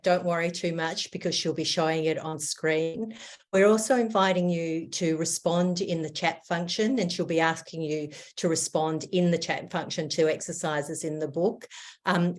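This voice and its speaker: A woman giving a measured, formal introduction as host of an online session. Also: sits low, a little mellow. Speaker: host introducing a guest speaker.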